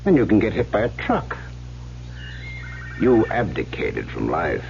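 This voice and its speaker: A man's voice: lowish voice, huge pitch swings, measured pace, darkish tone, heavily acted. An actor playing a cocky, silver-tongued gangster in an old-time radio drama, teasing and insistent as he works on his listener. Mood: goading, intense. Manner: smooth-talking, insistent, theatrical, teasing. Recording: muffled vintage radio broadcast, faint hum.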